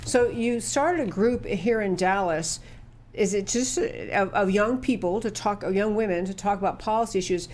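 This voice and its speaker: A woman's voice: mid-range voice, normal speed, a little mellow. Chatty curious woman host. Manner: chatty, curious, asks follow-up questions. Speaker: talk show host. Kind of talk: conversational interview.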